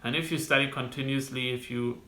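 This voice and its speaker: A young man from Germany with a German accent, giving casual advice to camera as a medical student, halting with frequent restarts. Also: mid-range voice, steady tone, sharp tone. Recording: roomy, echoey room sound.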